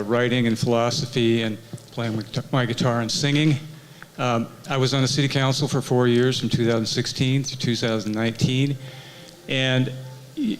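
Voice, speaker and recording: A man's voice: medium pitch, slight background noise, rough recording. A man who works as a carpenter, speaking plainly as a city council candidate at a forum. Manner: plainspoken, steady, some fillers. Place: senior community center.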